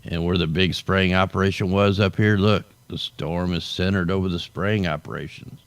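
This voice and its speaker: A man with a very low, gravelly voice talks casually through a weather commentary. He sounds tired and hoarse, as if his voice is giving out. The sound is close and dry, with no room around it. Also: steady tone, normal speed, no echo. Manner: loose, conversational, drawls "man" at line ends. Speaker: show host. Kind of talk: casual weather commentary over satellite maps.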